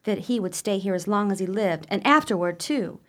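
Actress for gossipy spinster sister, gothic radio drama; middle register, formal, measured and dramatic. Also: female voice, mid-range voice, expressive, natural voice. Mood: ominous. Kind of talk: old-fashioned radio play acting.

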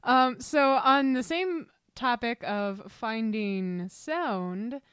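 A woman with a slightly high voice interviews a guest in an easy, casual style. Her sound is close and very dry, with no room to it. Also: expressive, very slow, a bit bright, smooth, no echo. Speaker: podcast interviewer.

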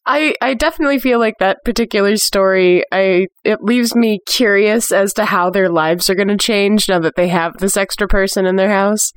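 A woman's voice: mid-range voice, moderate pace, few pauses, smooth, no echo. A woman hosting a podcast interview in a casual, informal, giggly chat style.

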